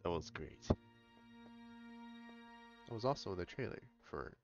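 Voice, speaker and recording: Animated man commentating on his gameplay. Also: deep voice, a little slow, gravelly, muffled recording, soft background music. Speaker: gaming streamer playing through a story quest.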